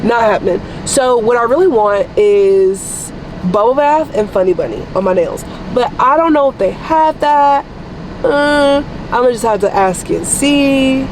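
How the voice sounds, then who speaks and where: A young woman vlogging casually to camera, chatty and rambling, her voice deeper than usual after losing it, with air conditioning humming around her. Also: expressive, measured pace. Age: young adult. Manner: chatty, rambling, says y'all a lot. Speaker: vlogger, nursing student. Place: coffee shop and car. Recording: noisy background with air conditioning.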